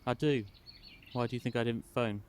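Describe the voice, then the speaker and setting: An actor playing an apologetic, evasive young student brother in naturalistic film dialogue, speaking in a subdued way. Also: male voice, mid-range voice, fairly even intonation, normal speed, smooth, lightly acted. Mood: subdued, defensive. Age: young adult. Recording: quiet outdoor location sound with background noise.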